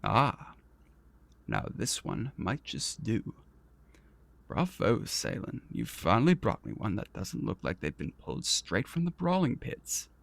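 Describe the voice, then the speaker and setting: An actor playing an arrogant, vain and petulant prince in a fantasy audio roleplay, speaking in a pompous, theatrical and condescending manner. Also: male voice, lowish voice, huge pitch swings, a little slow, creaky, full character voice. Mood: amused, condescending. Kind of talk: scripted ASMR roleplay audio drama.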